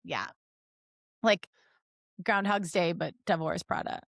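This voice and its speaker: A woman's voice: on the low side, a little slow, vocal fry, a bit bright, no room sound, studio quality. A woman co-hosting a casual comedy podcast, playful and full of exclamations and jokes. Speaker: podcast co-host.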